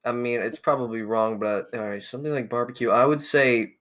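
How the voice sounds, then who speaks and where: A man's voice: medium pitch, fairly quick, a bit bright. A man playing as a quiz contestant, thinking aloud and guessing answers hesitantly with his teammates. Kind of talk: casual team discussion.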